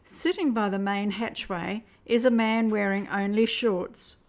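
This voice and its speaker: A woman's voice: mid-range voice, steady tone, measured pace, a little mellow. A woman reading scripted narration for a science fiction audio drama.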